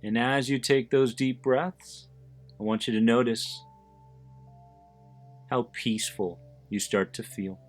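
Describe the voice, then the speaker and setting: A man narrates a guided meditation at a very slow pace, with music playing behind him. He speaks in short, deliberate phrases with pauses between them. His manner is soothing and his pitch sits in the middle range for a man. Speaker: host of an anxiety support podcast, guiding listeners. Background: background music.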